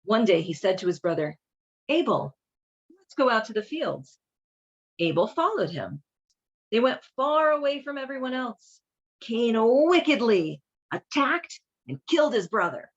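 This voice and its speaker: A woman's voice: fairly high pitch, sing-song, unhurried, slightly rough, muffled recording. A woman hosting a casual read-along and commentary, speaking in an informal, wry, irreverent way. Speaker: host reading and commenting on a children's Bible.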